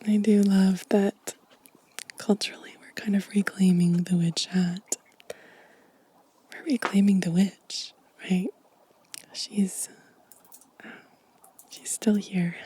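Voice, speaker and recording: Female ASMR host, very soft and mellow, whispering gently in a breathy, slow delivery. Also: medium pitch, flat delivery. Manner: gentle, unhurried. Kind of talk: soft-spoken, whispered ASMR show and tell.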